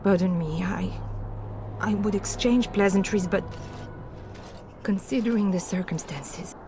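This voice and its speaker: An actress playing a frightened noblewoman, pleading anxiously in halting, broken phrases, with clear music behind her. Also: mid-range voice, lightly acted. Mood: desperate.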